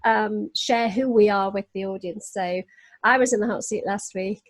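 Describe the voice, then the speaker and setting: A woman's voice: medium pitch, steady tone, moderate pace, dry recording. A woman hosting a business podcast, opening with friendly, lightly joking introductions. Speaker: podcast host. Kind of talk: podcast interview.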